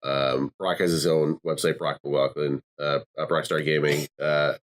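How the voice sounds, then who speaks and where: Male podcast co-host, deep voice, rambling casual delivery. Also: little pitch movement, unhurried. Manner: rambling, many fillers and restarts.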